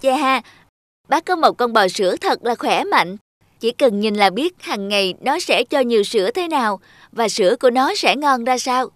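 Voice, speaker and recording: A woman voicing a lazy, dreamy young man in a cartoon, a breezy flatterer given to exclamations. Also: on the high side, normal speed, no echo, studio quality, lightly acted. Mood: flattering. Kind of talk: scripted cartoon dubbing.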